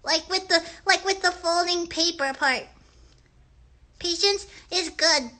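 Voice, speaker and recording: A woman voicing an excitable, earnest little kid puppet on a children's livestream show, chatty and rambling, stumbling over big words. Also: extremely high pitch, takes it slowly, exaggerated voice.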